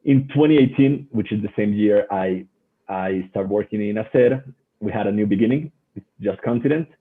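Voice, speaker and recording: A man with a Colombian Spanish accent presenting as a guest researcher in a webinar, haltingly and often repeating words. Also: on the low side. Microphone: online video call. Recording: muffled, phone-like webinar audio.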